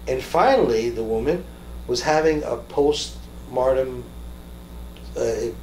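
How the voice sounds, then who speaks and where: A man hosting an interview in a conversational way, haltingly, repeating words as he searches for his phrases. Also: mid-range voice, darkish tone. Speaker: talk show host interviewing a guest. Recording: roomy echo with faint background noise.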